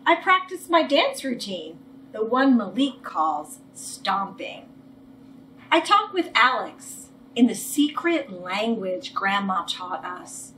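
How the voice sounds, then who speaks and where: A woman reads to children in a bright, highish voice that swings hugely in pitch. There is faint ambient noise behind her. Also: sing-song, takes it slowly, poor audio. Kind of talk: animated children's read-aloud.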